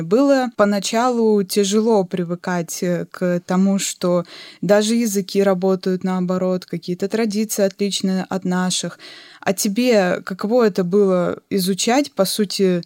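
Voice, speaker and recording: A young woman from Yakutia hosts a casual podcast chat with a friend. She talks freely and strings her questions into long, rambling turns. Her voice sits at a mid pitch, recorded close and dry. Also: moderate pace, darkish tone, dry recording. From Yakutia, Russia. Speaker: podcast host.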